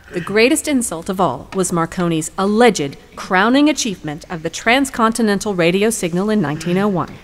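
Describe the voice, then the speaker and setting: A woman's voice: mid-range voice, slight background noise, lightly acted. A woman playing an assertive lawyer in a courtroom audio drama, arguing formally and rhetorically.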